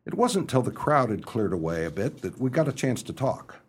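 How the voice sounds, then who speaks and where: An actor playing a flustered upper-class young English gentleman in a radio play, hesitant and bumbling, narrating his own scrapes in old-fashioned slang. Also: medium pitch, big pitch swings, a little fast, breathy edge. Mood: wry, exasperated. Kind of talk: radio play storytelling and dialogue.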